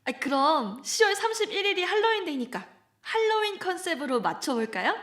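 Brief: actress as a bubbly young coworker, high and sing-song, slow, cheerful. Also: female voice, very animated, fairly bright tone, echoey room, heavily acted. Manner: cheerful, upbeat. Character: cheerful upbeat young woman. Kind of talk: amateur audio drama acting.

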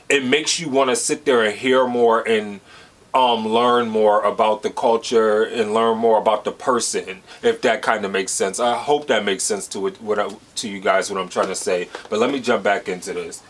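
An American man in his thirties talks at a middle pitch in a loose, excited reaction-video style. He gushes and circles back on himself, packing his sentences with "like" as he searches for the right words. His voice sits right in the everyday middle range for a man. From United States. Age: 30s. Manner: enthusiastic, rambling with many "like" fillers. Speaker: music reaction host. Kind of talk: casual reaction commentary.

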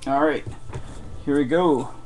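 Male host, unhurried and muttering, calls out cards as he opens packs, with soft crinkling behind. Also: fairly high pitch, a little hiss or hum. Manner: slow, muttering play-by-play while opening packs. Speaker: card break host. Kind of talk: live card break commentary. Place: room with boxes on a desk. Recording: faint wrapper crinkling behind.

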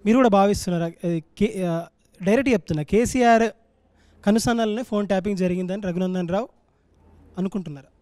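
A man's voice: high voice, fast, warm tone, no room sound. A man in his thirties questioning an interview guest as a journalist, speaking into a handheld microphone in a TV studio. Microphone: handheld mic.

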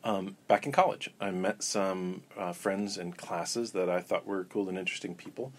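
A man guest in an interview, talking in a relaxed, rambling way with many ums and restarts. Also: low voice, moderate pace, a little hiss or hum. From Florida. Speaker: interview guest, coven elder. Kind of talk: casual storytelling interview.

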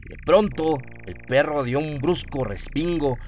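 A man's voice: fairly high pitch, measured pace, darkish tone, a little hiss or hum. A man narrating a scripted horror radio drama as its storyteller. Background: atmospheric music and sound effects.

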